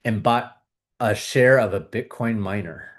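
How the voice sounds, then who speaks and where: A man hosting a video, calmly guiding viewers through a tapping exercise with repeated phrases. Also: on the low side, measured pace. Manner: calm, repetitive, coaching tone. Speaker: host leading a tapping exercise. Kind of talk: guided tapping exercise and money talk.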